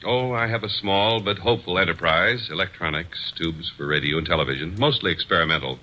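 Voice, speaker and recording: A man's voice: low-pitched, a little fast, bright, forceful tone, rough recording. A curt, guarded businessman. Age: 30s. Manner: curt, evasive answers. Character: guarded, impatient male businessman in his thirties. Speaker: witness. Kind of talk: 1940s radio acting.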